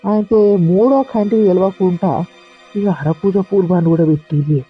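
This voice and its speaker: A dull, lo-fi cartoon voice of a bossy, know-it-all village wife , acted by a man, pitched high. The delivery is emphatic and complaining, in a Telangana accent, with faint music underneath. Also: normal speed, husky. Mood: gossipy, emphatic. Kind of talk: comic village gossip dialogue in a cartoon.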